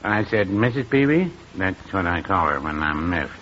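An actor playing a mild older American small-town druggist, folksy, hesitant and deadpan, in a radio comedy. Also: male voice, low-pitched, normal speed. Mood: droll, miffed. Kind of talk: old-time radio comedy acting. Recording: muffled old radio recording, background hiss.

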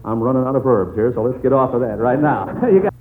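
A man's voice: mid-range voice, fast talker, darkish tone. An American man hosting a 1940s radio quiz show in a chatty, encouraging manner. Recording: noisy, tinny old radio broadcast.

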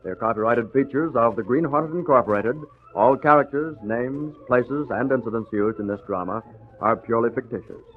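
A man's voice: mid-range voice, brisk. A man reading formal scripted announcements in the style of a 1940s radio announcer. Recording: tinny old radio with faint music.

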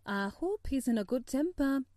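Female reader as young maid, anxious, deferential, homely dialect, animated pitch, mellow tone, storybook character. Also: moderate pace, no echo, studio quality. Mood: homely, puzzled. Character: humble, anxious young Yorkshire housemaid.

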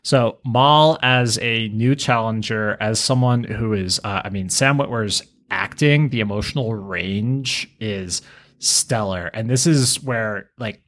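A man's voice: lowish voice, slow, a bit bright. A man hosting a podcast, talking casually and enthusiastically as a fan. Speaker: podcast host.

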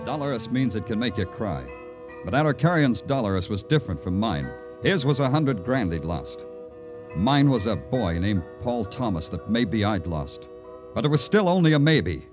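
An actor playing a weary, sardonic but compassionate police lieutenant in an old-time radio crime drama, with a wry, clipped manner. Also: male voice, on the low side, big pitch swings, normal speed. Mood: brooding. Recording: vintage radio drama with music.

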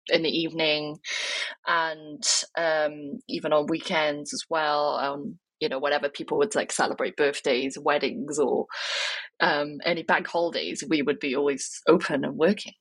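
A woman's voice: sits low, level tone, normal speed, husky, no echo, low-quality recording. A young woman from Wales, talking reflectively as an interview guest, with many fillers and restarts. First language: Cantonese.

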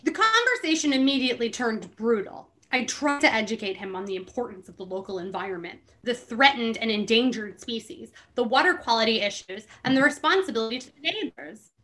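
A woman with a bright, forceful, fairly high voice reads a book aloud as a podcast host. She leans into theatrical emphasis and slips into casual, amused asides. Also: lively intonation, a little fast, few pauses. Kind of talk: dramatic reading with casual asides.

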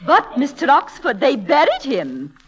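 A woman's voice: high-pitched, huge pitch swings, measured pace, fairly bright tone, muffled recording, full character voice. An actress in an old-time radio drama playing a haughty, accusing titled lady, formal and pointed. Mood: coolly accusing.

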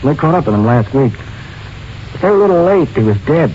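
An actor playing a calm, methodical police lieutenant in an old radio drama, measured and official, on a noisy recording. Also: male voice, medium pitch, highs cut off, close to an everyday voice. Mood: businesslike probing.

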